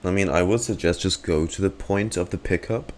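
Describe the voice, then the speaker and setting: A man's voice: sits low, a little fast, a little mellow. A man streaming a game with casual reaction commentary, musing and muttering to himself.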